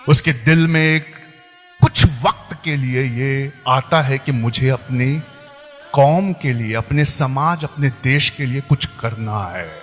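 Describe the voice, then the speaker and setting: A man with an Indian accent giving impassioned religious oratory in a deliberate, rhetorical, emphatic way. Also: fairly high pitch, a bit bright. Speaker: religious speaker addressing a gathering. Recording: echoey hall PA with background music.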